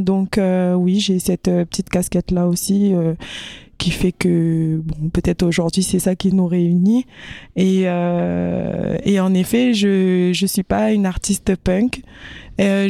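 A woman singer and musician from France, interviewed, speaking hesitantly with many pauses and fillers. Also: on the low side, vocal fry, warm tone, dry recording, clean studio sound. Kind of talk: casual interview.

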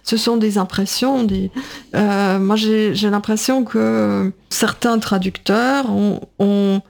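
A woman's voice: medium pitch, steady tone, slow pace, creaky, a little mellow. A woman in her sixties, a writer and translator, speaks as a guest in a radio interview, thinking aloud with many fillers and restarts. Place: radio studio.

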